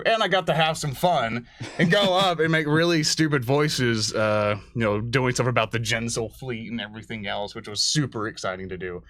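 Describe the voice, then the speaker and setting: Fast-talking man with a lowish voice. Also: fairly bright tone, dry recording. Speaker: interviewer, show host. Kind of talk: casual interview chat.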